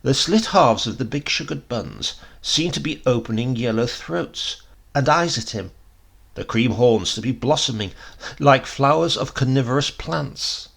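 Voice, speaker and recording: Male audiobook narrator, heavy vocal fry, animated intonation, dramatic scripted storytelling, deliberate emphasis. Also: mid-range voice.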